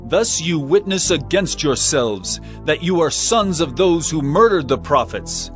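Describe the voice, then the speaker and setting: Male voice, stern prophet, declamatory, fairly high, widely swinging pitch, audio drama, music behind. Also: expressive, a little slow. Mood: scathing, stern. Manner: declamatory, solemn, emphatic. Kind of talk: dramatized scripture reading.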